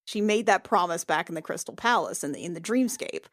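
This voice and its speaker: A woman's voice: on the low side, animated, a little fast, dry recording, studio quality. A woman playing in a tabletop podcast, narrating her character's thoughts and actions. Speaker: player on actual-play podcast.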